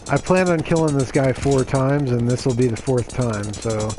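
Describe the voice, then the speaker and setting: A man streaming a game, voice a little raspy from reading aloud the day before, chatting casually with lots of "you know"s and "uh"s. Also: medium pitch, moderate pace, over music, poor audio. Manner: chatty and self-justifying, lots of fillers. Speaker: gaming streamer. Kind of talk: casual gameplay commentary.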